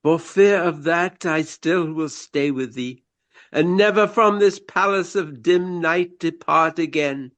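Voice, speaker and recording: Slow, high-pitched, hammy Regency actor. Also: male voice, a bit bright. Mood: mournful, declaiming. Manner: florid, formal, grandiloquent. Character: theatrical Regency gentleman actor, courtly, earnest, hammy. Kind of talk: radio play acting, declaimed Shakespeare.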